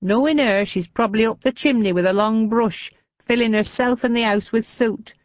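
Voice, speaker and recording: A woman voicing a frail, chatty old northern lady with a high, quavering, mischievous voice in a storybook reading. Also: moderate pace, dry recording, muffled recording, close to an everyday voice. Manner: gossipy, cheeky, confiding.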